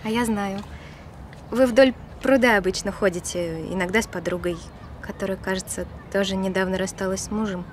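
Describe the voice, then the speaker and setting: An actress in an audio drama playing a friendly, casual dog owner chatting and playfully scolding. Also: female voice, mid-range voice, steady tone, a little fast, a little hiss or hum, natural voice.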